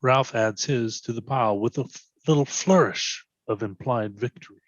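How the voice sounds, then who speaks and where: A man's voice: medium pitch, normal speed, dry recording, muffled recording. A man reading stage directions as scripted narration for a readers theatre.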